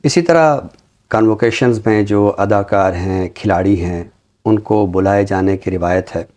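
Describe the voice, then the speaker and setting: Low-voiced man, deliberate and formal. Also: a little mellow. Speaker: academic guest commentator. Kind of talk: measured studio discussion.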